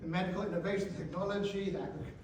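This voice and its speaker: A man in his forties giving a talk to teenagers in a school hall, animated and questioning the audience. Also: high voice, very fast, slight background noise. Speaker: guest speaker. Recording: echoey hall, speaker far from mic.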